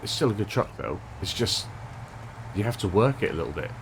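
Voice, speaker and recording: A man hosting a gaming channel, chatting casually over gameplay with a stuffed-up, unwell voice, thinking aloud with a monotone delivery. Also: lowish voice, brisk, background noise. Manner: rambling, thinking aloud with restarts.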